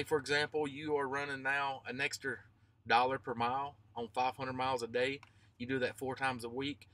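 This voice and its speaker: A man in his thirties speaks in an informal vlog style with a bright, forceful voice. He explains emphatically, repeating phrases to hammer them in, at an average male pitch. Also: no room sound. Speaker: trucker vlogger.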